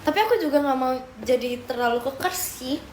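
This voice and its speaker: A woman's voice: high-pitched, lively intonation, fairly quick, slight background noise. A young woman live streaming, chatting casually as she answers viewer comments, often slipping into English mid-sentence while eating and sipping a drink.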